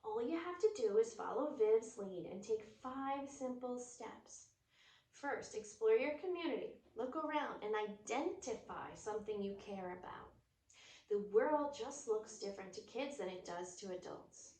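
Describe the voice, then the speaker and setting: A woman reading a children's book aloud with expressive, storytelling delivery. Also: a little high, a little slow. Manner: expressive, drops to whispers at quiet moments. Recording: roomy echo, distant mic, faint noise.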